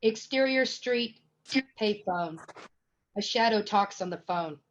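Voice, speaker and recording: A woman's voice: mid-range voice, lively intonation, takes it slowly, lo-fi sound, a little hiss or hum. A woman reading screenplay scene headings and stage directions as scripted narration.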